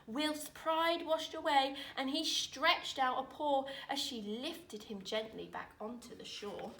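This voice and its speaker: A woman reading a rhyming children's picture book aloud in an expressive, dramatic way, with deliberate phrasing. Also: high voice. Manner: dramatic, deliberate phrasing with emphatic swells and hushes. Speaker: storyteller reading aloud, teacher. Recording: roomy echo, distant microphone.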